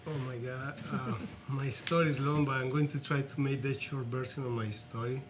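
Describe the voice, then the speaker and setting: A man with a Colombian accent and a mid-pitched voice speaks as an interview guest. He is earnest and reflective, feeling for words in his second language. A faint noisy rumble sits behind him. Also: steady tone. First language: Spanish. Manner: earnest, reflective, halting non-native English. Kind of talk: personal storytelling in an interview.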